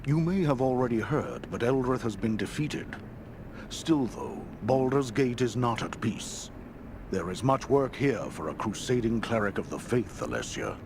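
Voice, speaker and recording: A man playing a kindly, solemn temple priest in a fantasy video game, gravelly and courteous in a measured way. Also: medium pitch, very animated, noisy background. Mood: gentle, solemn. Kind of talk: video game dialogue acting.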